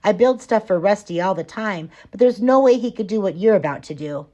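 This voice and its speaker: A woman reads as a friendly stage manager, a proud and encouraging dad in a children's story. He speaks directly and kindly, explaining things clearly at a medium pitch. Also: expressive, brisk, mellow, dull audio, natural voice.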